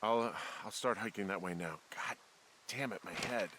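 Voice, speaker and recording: A man playing a wary, sardonic fire lookout in a naturalistic video game style, halting and gruffly irritable. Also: lowish voice, noisy background, lightly acted. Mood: shaken, hurt. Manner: dry, sarcastic, halting, swears freely.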